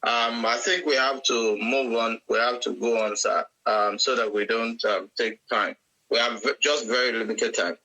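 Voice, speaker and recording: A man's voice: mid-range voice, steady tone, moderate pace, bright, forceful tone, low-quality recording. A man hosting an online chat interview, politely asking questions with frequent ums.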